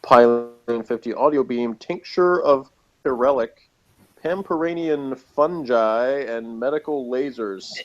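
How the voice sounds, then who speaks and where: A man's voice: mid-range voice, a little slow, dull audio. A man chatting casually and wryly as a podcast co-host, reading out notes and commenting on them.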